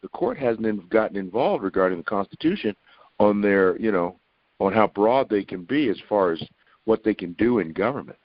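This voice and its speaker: A man's voice: lowish voice, lively intonation, moderate pace, dry recording. A man calling in by phone to discuss current affairs in a conversational way. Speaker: caller. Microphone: telephone. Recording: muffled, dry phone line.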